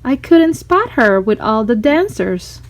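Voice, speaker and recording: A woman narrating a children's storybook in an expressive read-aloud style. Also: a little high, takes it slowly, poor audio.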